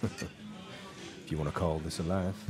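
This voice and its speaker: A man playing a brooding, low-voiced blues musician. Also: flat delivery. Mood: weary, guarded. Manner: world-weary, halting, reluctant storyteller. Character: brooding, haunted male blues musician drinking in a bar. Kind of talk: live radio drama acting. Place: live stage performance of a radio drama.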